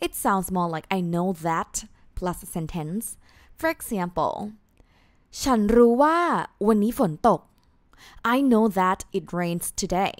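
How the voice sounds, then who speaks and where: A woman's voice: on the low side, no room sound, studio quality. Chatty Thai woman teaching a lesson. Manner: chatty, lots of "you know" and "like" fillers; slows down to spell out terms, switches into Thai for examples. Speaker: Thai language teacher, podcast host. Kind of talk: casual podcast lesson.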